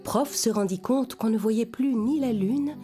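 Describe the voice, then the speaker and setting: A woman's voice: on the high side, unhurried, over music. A woman narrating a scripted bedtime story for young children.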